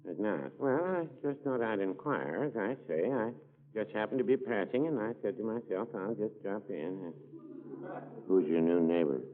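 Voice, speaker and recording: An actor playing a meek, hesitant small-town druggist in a 1940s radio comedy, halting and folksy. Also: male voice, fairly low pitch, lively intonation, noisy background, lightly acted. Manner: halting, drawn-out, folksy, noncommittal. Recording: muffled 1940s radio recording, studio audience.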